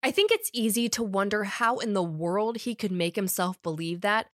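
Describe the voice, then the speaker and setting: A woman's voice: mid-range voice, expressive, moderate pace, no room sound. Woman host narrating true crime, dry room. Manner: wry, conversational storytelling. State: recovering from a summer cold. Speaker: podcast host.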